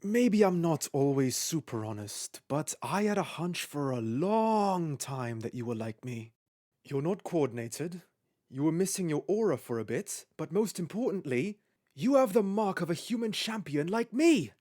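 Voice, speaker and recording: A woman voicing a lonely, caring creature who was once human, earnest and gentle, in a comic dub. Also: low-pitched, big pitch swings, unhurried, dry recording, clean studio sound, full character voice. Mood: earnest, pleading. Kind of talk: animated comic dub acting.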